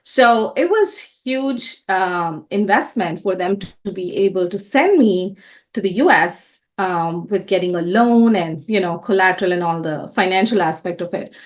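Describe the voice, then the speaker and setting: A woman's voice: medium pitch, animated, moderate pace. A woman in her thirties, born and raised in India, telling her life story as an interview guest, earnest and often restarting her sentences. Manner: earnest, many restarts and self-corrections. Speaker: entrepreneur guest.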